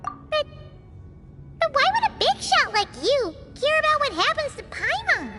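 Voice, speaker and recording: A tiny, naive fairy sidekick for an anime-style game. The voice is extremely high and squeaky, bouncing excitedly across a wide range, with loud music playing behind it. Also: female voice, unhurried, heavily acted. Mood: puzzled, curious. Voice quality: squeaky, childlike. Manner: exclamatory, talks about herself in third person.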